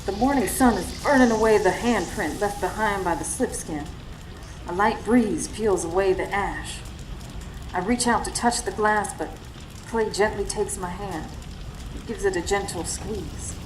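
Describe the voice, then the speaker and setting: A woman's voice: medium pitch, unhurried, natural voice. An actress playing a devoted, tough young woman who narrates a radio drama. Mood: reflective. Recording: live stage recording, faint background noise.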